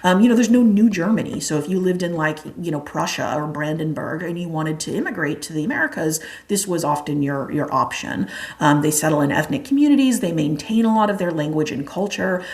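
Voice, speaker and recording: A woman's voice: sits low, lively intonation, fairly quick, darkish tone. A young woman teacher giving a casual exam review lecture, chatty and full of "like" and "um". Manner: chatty, many fillers like "like" and "um". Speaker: history teacher.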